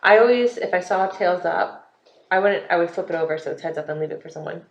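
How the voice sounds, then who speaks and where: A woman's voice: fairly low pitch, quick pace, creaky. Give me a teasing woman co-hosting a casual podcast, recorded with a dull, roomy sound. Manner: teasing, laughs often. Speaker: podcast co-host. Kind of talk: casual chat.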